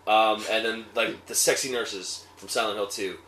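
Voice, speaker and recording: A man co-hosting a casual review discussion, critical and argumentative, picking his points out haltingly. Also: lowish voice, fairly even intonation, sharp tone. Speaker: co-host of a film review show. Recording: roomy echo with faint noise.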